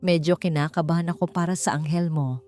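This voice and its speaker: A woman's voice: fairly low pitch, steady tone, fairly quick, a little mellow, natural voice. A woman with a Filipino accent voicing a supportive, concerned male business partner in an audiobook. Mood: concerned, ready. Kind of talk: audiobook character dialogue. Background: background music.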